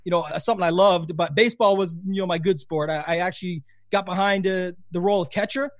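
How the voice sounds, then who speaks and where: A man's voice: high-pitched, quick pace, no echo, clean studio sound. A Canadian man with a Canadian accent, chatting casually as a podcast guest, rambling with lots of "you know". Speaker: podcast guest, fantasy sports player. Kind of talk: casual interview chat.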